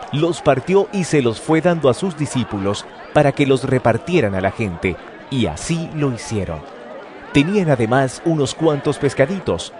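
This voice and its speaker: A man's voice: mid-range voice, a little mellow. A man narrating scripture from a script for an audio drama.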